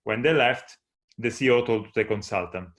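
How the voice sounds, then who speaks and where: A man with an Italian accent presents a talk in English, his second language. His voice sits a little low, and he explains ideas earnestly, now and then restarting a phrase. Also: moderate pace, a bit bright. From Italy. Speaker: speaker. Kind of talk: conference talk with slides.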